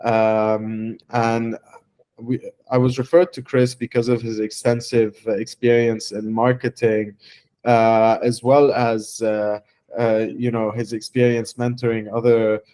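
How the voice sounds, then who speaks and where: Halting young founder, many fillers. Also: male voice, medium pitch, little pitch movement, mellow, clean tone. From Lebanon. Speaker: startup founder. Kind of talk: testimonial interview.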